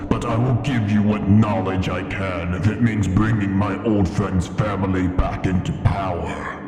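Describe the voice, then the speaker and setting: Ancient proud dragon played by a man, low-pitched and imperious, unhurried formal delivery, fantasy ASMR roleplay. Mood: indignant, resolved. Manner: slow, imperious, formal and deliberate. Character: ancient proud male dragon in his cavern lair. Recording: echoing cavern reverb with music behind. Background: music.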